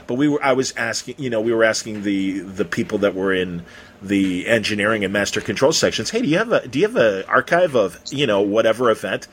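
A man's voice: lowish voice, expressive, brisk, a little hiss or hum. A middle-aged man hosting a casual interview in an informal, rambling way, circling around his questions. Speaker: radio host interviewing an author.

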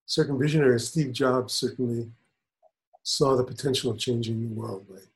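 An older man who is a spiritual teacher and author, answering interview questions in a measured, thoughtful way. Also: medium pitch, poor audio.

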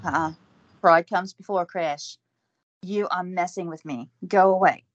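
An actress playing an excitable, nervous young woman in love, in an audio drama. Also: on the low side, measured pace, lo-fi sound. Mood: excited. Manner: excitable, flustered. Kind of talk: amateur audio drama acting.

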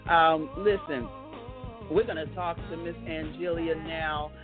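A woman's voice: low-pitched, steady tone, a little slow. An American woman hosting an online talk show in an upbeat, chatty, devout and exuberant way. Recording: band-limited phone-like line, music behind.

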